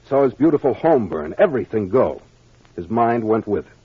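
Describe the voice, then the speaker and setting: Confident, animated radio detective captain. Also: male voice, fairly low pitch, breathy edge, no echo, heavily acted. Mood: explanatory, assured. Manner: deliberate, authoritative storytelling. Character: confident male detective captain methodically explaining a mystery. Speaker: radio serial actor. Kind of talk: old-time radio mystery acting. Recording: dull, dry old radio recording.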